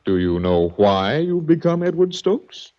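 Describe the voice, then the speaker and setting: An actor playing a smug, mock-courteous male villain running a sanitarium, with smooth menace, in a 1940s radio drama. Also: on the high side, big pitch swings, a little slow. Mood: taunting. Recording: muffled 1940s radio recording.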